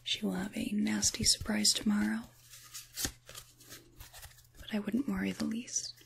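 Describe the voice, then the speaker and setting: A woman playing a soothing, mysterious witch in an ASMR tarot roleplay, speaking softly and half-whispering with pauses, close to the microphone. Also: mid-range voice, level tone, vocal fry, slight background noise. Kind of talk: soft-spoken ASMR roleplay, tarot reading.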